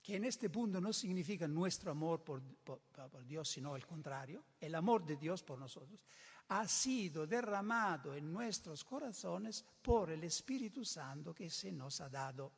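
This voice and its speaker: A man's voice: a little high, lively intonation, moderate pace, vocal fry. An older man who is not a native Spanish speaker, preaching a sermon in an emphatic way, often repeating and restarting words. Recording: reverberant hall.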